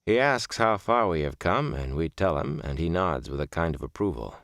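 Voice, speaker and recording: A man narrates a reflective audiobook in a very low voice. His phrasing is deliberate and measured, with lively rises and falls in pitch. The recording is close and dry. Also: no room sound. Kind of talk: scripted audiobook narration of a reflective first-person memoir novel.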